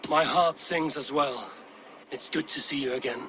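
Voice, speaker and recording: An actor playing a calm, earnest Norse clan leader. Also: male voice, medium pitch, normal speed, rough recording. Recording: game audio with ambient noise.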